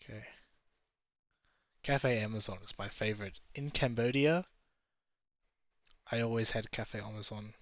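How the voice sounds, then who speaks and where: A man's voice: fairly low pitch, measured pace, long pauses, dry recording. A man giving a casual, chatty running commentary from inside a moving car, musing aloud and drifting off topic. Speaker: travel vlogger. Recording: slightly dull, dry sound.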